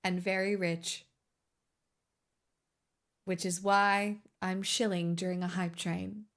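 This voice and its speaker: A woman's voice: lowish voice, little pitch movement, slow. A woman in her twenties streaming live, chatting casually with joking, self-mocking banter. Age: 20s.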